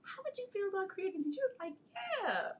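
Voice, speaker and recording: A woman's voice: very high voice, very animated, brisk, slight background noise. A woman hosting a casual interview, chatting and telling stories in a conversational way. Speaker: host, marketing strategist and children's book author. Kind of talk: casual interview chat with storytelling.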